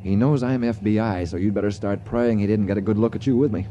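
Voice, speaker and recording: An actor playing a businesslike FBI agent, clipped and matter-of-fact, in a 1950s radio drama. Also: male voice, on the low side, quick pace, mellow, dull audio, close to an everyday voice. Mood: urgent warning. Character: businesslike male FBI agent handling an informant. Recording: slightly noisy 1950s radio recording.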